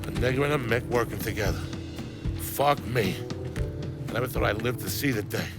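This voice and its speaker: An actor playing a vicious, sneering mob boss in a video game cutscene, aggressive and constantly profane. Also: male voice, medium pitch, brisk, lightly acted. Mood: bitter, sneering. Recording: loud music under the dialogue.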